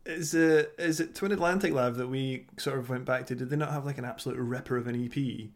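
A man's voice: mid-range voice, brisk. A man co-hosting a podcast, chatting casually and bluntly with casual swearing. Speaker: podcast co-host.

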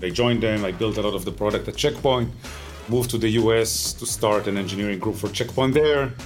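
Low-voiced Israeli man, measured. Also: soft background music. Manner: measured, explanatory, pauses with "uh". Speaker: tech company founder, guest. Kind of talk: interview answers.

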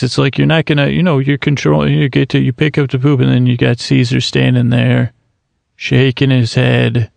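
Gravelly man rambling into a close mic. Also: mid-range voice, a little mellow, no echo. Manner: meandering, self-deprecating, trailing off and restarting; slips into quick imagined dialogues. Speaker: podcast host. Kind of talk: rambling comic monologue.

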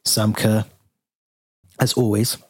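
A man's voice: lowish voice, takes it slowly, breathy edge, no room sound, clean studio sound. A man hosting a casual football podcast, talking easily with a lot of ums and reading out plugs for the channel. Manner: relaxed, lots of fillers and restarts, reads out channel plugs. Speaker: podcast host.

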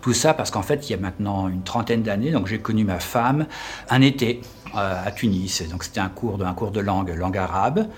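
A French man in his fifties, a tour guide answering interview questions casually, pausing often with fillers and restarting his phrases. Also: fairly low pitch. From France. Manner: hesitant, with many fillers and restarts.

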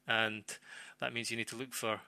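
A man giving off-the-cuff football analysis as a pundit, haltingly, searching for words and repeating phrases. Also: medium pitch, little pitch movement, vocal fry, bright, forceful tone, dry recording.

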